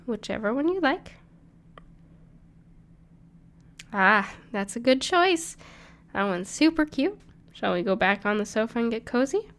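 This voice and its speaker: Woman acting a gentle, creaky-voiced cuddly dragon girl. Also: a little high. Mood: cozy, reassuring. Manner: tender, reassuring, playful, slightly hesitant. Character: gentle, cuddly, bashful female dragon in human form, plushie lover. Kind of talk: soft-spoken intimate audio roleplay to the listener.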